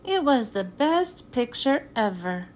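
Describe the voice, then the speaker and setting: High, lively woman reading a picture book. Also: slow, slight background noise. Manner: expressive storytelling read-aloud. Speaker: children's book reader.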